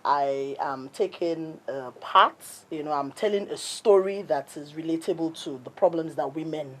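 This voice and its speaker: A woman's voice: low voice, moderate pace, a bit bright, poor audio. A Nigerian woman speaking casually as an interview guest in a studio, introducing herself. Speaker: stage play cast member, interview guest. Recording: clear background noise in studio.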